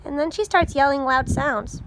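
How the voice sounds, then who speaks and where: A woman's voice: high-pitched, moderate pace, noisy background, voice pushed up, natural voice. A woman voicing a curious, eager young schoolgirl in a storybook, wide-eyed and full of questions. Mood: concerned. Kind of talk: storybook read-aloud character voice.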